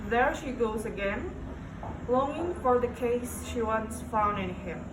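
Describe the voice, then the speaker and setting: A woman's voice: fairly high pitch, unhurried. A woman reading a poem aloud in a recitation style. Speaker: poetry reader. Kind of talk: slow poetry recitation. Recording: echoey, distant mic, clear background noise.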